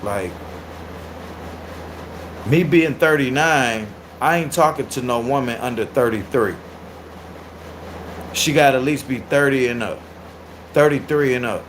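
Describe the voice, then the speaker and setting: A man in his thirties hosting a casual livestream and reacting to viewer comments. He is loud, animated and crude, and laughs often. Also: mid-range voice, a little slow, pauses often, slight background noise, poor audio. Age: 30s. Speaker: livestream host.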